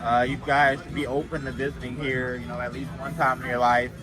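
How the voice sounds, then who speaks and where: A man's voice: medium pitch, little pitch movement, brisk, over music. A man from the United States, a guest and video creator, talking in a casual interview and addressing viewers directly. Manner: assertive, lectures the audience directly.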